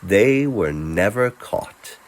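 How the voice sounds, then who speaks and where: A man narrating a fantasy romance as a storyteller, in a tender, theatrical manner with dramatic pauses. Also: fairly low pitch, lively intonation.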